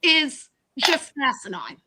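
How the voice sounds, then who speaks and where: A woman caller arguing loudly and insistently in a live chat, often restarting and repeating her words. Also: high voice, wildly swooping pitch, takes it slowly, a little hiss or hum. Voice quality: loud projecting voice. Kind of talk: heated debate on a live chat.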